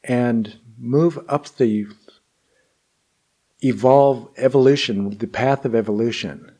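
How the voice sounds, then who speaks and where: A man teaching and guiding meditation in deliberate, measured phrases with long pauses. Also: mid-range voice, warm tone. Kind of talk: guided meditation and spoken teaching.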